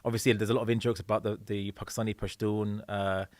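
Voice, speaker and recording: A man's voice: fairly low pitch, level tone, fast, no room sound, studio quality. A man hosting a conversational podcast interview, speaking hesitantly with many fillers and restarts. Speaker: podcast host.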